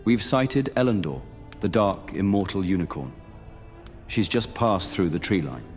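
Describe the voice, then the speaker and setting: A man performs a stern medieval paladin in a fantasy audio drama. The voice is deep and grave, giving measured, dutiful speech. Soft music sits underneath. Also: very animated. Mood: grave, briefing. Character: stern, dutiful male paladin of monster-hunting guild. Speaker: voice actor in fantasy roleplay. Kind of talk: immersive fantasy audio roleplay acting.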